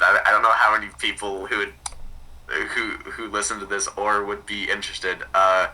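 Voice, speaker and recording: A man's voice: fairly low pitch, bright, forceful tone, distant mic, low-quality recording. A man chatting casually as a podcast guest, tentative and self-effacing, his sentences often trailing off. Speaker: podcast guest, hobbyist archivist. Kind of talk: casual chat.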